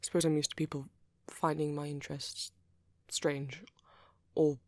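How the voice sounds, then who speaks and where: A woman voicing a shy, awkward young vampire boyfriend in an ASMR roleplay, hesitant and rambling, with a soft, breathy delivery. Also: low voice, level tone, no room sound. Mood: self-conscious. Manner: hesitant, halting, rambling, nervous.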